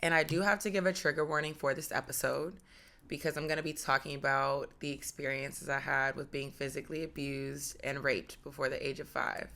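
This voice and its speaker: A woman in her thirties, a native Portuguese speaker, hosting a podcast and telling her personal story in a candid, reflective, measured way. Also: sits low, gravelly, pushed and bright. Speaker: podcast host.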